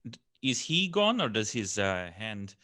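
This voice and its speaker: A man's voice: fairly low pitch, very animated, a little slow, bright, forceful tone, full character voice. A man voicing an older male horn player with a dry, wry manner.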